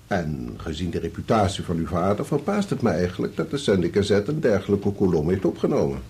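An actor playing a courteous, sober senior lawyer in a radio play, speaking formally and in a measured way. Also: male voice, sits low, very animated, a little mellow, slight background noise. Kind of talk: Dutch radio play acting.

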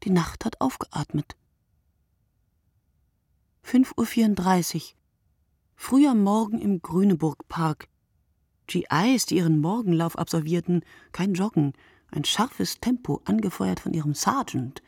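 A sleepless, reflective woman in a radio play, her low voice full of creak. She speaks in measured, short phrases, close and dry, like a literary monologue. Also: lively intonation, dark tone, no echo, full character voice.

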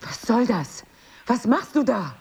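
An actress playing a bitter, defensive wife with a secret, husky and curt, sardonic in an intimate two-person drama. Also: female voice, a little high, big pitch swings, unhurried, dark tone, slight background noise. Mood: startled, ashamed.

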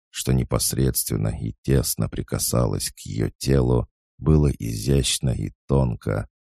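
A man narrating a classic novel in a measured, even reading style, close to the mic. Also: very deep voice, vocal fry, no room sound. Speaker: audiobook narrator.

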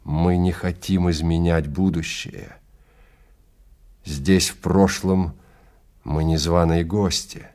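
A man's voice: low-pitched, darkish tone. A man playing a stern, contemptuous male time-travel guide in an audio drama, lecturing and commanding with great emphasis. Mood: grave warning. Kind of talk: Russian audio drama acting.

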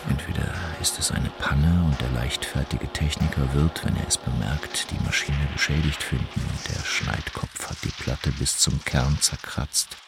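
A man reads a scripted literary text as narrator in a solemn, measured, declamatory way. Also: a bass voice, steady tone, creaky, loud music behind the voice. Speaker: narrator reading quoted texts.

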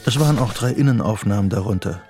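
An actor narrating a psychological radio drama in a measured, detached literary style. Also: male voice, on the low side, expressive, darkish tone, soft background music.

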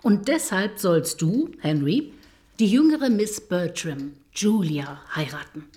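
Mellow, unhurried fond sister. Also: female voice, lowish voice, lively intonation. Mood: fond, coaxing. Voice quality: mellow, soft. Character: gentle, fond married sister, matchmaking. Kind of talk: German radio play acting.

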